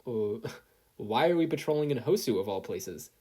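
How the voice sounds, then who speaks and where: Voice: medium pitch, expressive, normal speed, dark tone, slightly rough. A performer voicing a nervous, earnest teenage boy hero trainee in an audio story. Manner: anxious, earnest, rambling questions.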